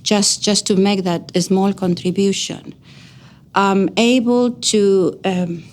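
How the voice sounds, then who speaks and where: A woman's voice: mid-range voice, steady tone, slow, a little mellow. A middle-aged woman from Argentina with a Spanish accent, a native Spanish speaker, gives a personal account as an educator and researcher, speaking earnestly and leaning on key words.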